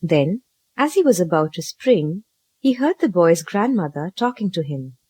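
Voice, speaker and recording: A woman narrating a children's story from a script, with an expressive, dramatic read-aloud delivery. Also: on the low side, moderate pace, breathy edge, dry recording.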